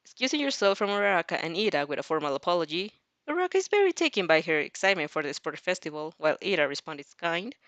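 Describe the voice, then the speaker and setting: A woman's voice: lowish voice, big pitch swings, quick pace, fairly bright tone, no echo, lo-fi sound. A woman hosting a fan fiction reaction, reading aloud expressively and breaking into casual, rambling, giggly asides. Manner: rambling, giggly, often interrupts herself with asides.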